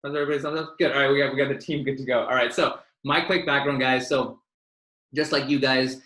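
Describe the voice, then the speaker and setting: Man, career mentor, quick casual speech, many fillers, echoey room. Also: medium pitch, steady tone, fairly bright tone. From Pakistan. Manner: informal and direct, lots of fillers, addresses listeners as "you guys". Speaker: career mentor hosting a webinar. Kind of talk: casual, interactive online presentation.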